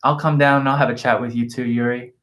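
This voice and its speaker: Brief: easygoing guy, mid-pitched, talks loosely with lots of "like". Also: fast talker. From Australia. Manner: relaxed, chatty, uses "like" a lot. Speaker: guest, entrepreneur. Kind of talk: casual livestream chat. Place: café in Seoul.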